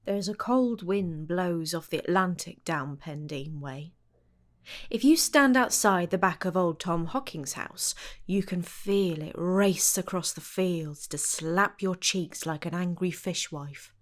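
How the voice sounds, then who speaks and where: Slow, low-voiced woman storyteller. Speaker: story narrator. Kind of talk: scripted story narration.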